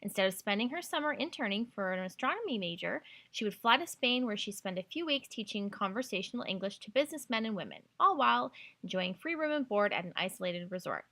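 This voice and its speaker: Bright-voiced woman hosting a podcast. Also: mid-range voice, very animated, quick pace. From Canada. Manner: gushing, enthusiastic. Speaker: podcast host. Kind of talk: book recommendation podcast, casual talk with read-out blurbs and quotes.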